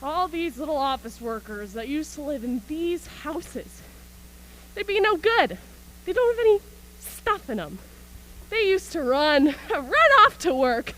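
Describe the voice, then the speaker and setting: A woman's voice: high-pitched, very animated, unhurried, a bit bright, slight background noise, full character voice, low-quality recording. A woman acting an embittered, manic militia soldier in a stage radio play, ranting in colloquial speech about surviving an invasion. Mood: defiant, manic. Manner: colloquial, ranting, punctuated by scornful laughs. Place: school auxiliary gym.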